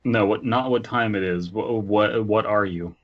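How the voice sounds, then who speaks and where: A man with a fairly low voice chats and jokes as a podcast co-host. The microphone sounds a little dull and lo-fi. His delivery is casual and conversational. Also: a little slow. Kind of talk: casual joking chat.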